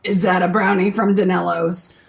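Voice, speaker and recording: An actress playing a gushing, coy woman in a radio play, with a drawn-out delivery. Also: fairly low pitch, little pitch movement, creaky. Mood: delighted, coy. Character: gushing, coy female friend of a detective.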